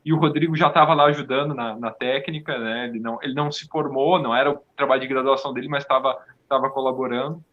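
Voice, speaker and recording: A man chatting casually as an interview guest, halting and repeating words as he goes. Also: medium pitch, fairly even intonation. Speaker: podcast guest, audio drama maker. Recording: dull, lo-fi sound.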